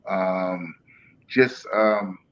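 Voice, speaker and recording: A man of sixty-two from Detroit with an American accent, giving a measured, halting personal account as a panel guest. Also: low-pitched, fairly bright tone. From Detroit, Michigan, USA. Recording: dull lo-fi line with clear background noise.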